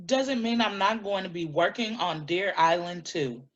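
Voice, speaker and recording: A woman's voice: fairly low pitch, moderate pace, close to an everyday voice. Dull-sounding, defiant activist woman played by an actress. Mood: firm, defensive. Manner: blunt, combative. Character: defiant, principled woman animal-rights activist. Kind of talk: staged play reading.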